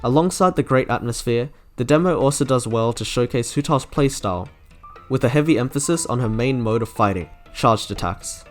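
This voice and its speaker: A man's voice: mid-range voice, animated, a little fast. A young man giving scripted commentary as a video game reviewer, with music playing behind him. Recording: background music under narration.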